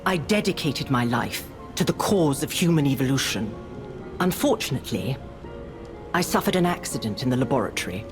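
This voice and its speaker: A woman playing a haughty, obsessive blind artist in a video game, speaking with grave, deliberate authority. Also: very deep voice, lively intonation, over music. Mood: solemn, reverent.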